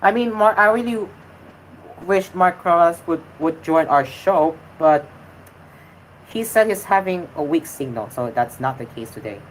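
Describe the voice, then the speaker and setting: A woman's voice: on the low side, animated, measured pace, slight background noise, poor audio. A woman hosting a casual livestream, speaking hesitantly with many fillers and restarts and switching between Tagalog and English, which is her second language after English. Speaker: host of a livestreamed show.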